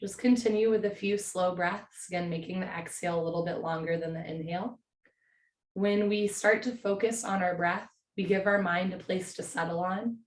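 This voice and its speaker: Hoarse woman guiding yoga slowly. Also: lowish voice, fairly even intonation, roomy sound. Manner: slow, gentle, soothing instruction. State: hoarse from a cold. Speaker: yoga teacher. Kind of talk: guided yin yoga class. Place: home.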